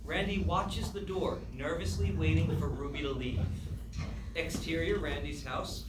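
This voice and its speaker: A man's voice: on the high side, fairly even intonation, a little slow. A man narrating stage directions from a script at a live comedy table read. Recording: echoey room, distant mic, noisy.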